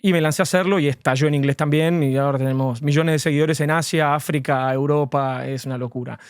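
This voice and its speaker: Guy explaining immigration stuff kinda high pitched, lots of ums, real dry close mic. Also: steady tone, fast, clear, resonant tone, no room sound. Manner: earnest, explanatory, with frequent fillers. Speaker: immigration lawyer, guest. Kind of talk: conversational interview answers.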